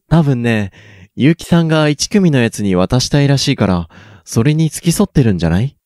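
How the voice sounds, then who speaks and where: A man's voice: mid-range voice, expressive, moderate pace, no room sound, clean studio sound, close to an everyday voice. A young man playing a teasing, supportive schoolboy in a radio drama. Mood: amused. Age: young adult. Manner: playful, gently needling. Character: teasing, supportive schoolboy best friend Ayato. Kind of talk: Japanese student radio drama acting.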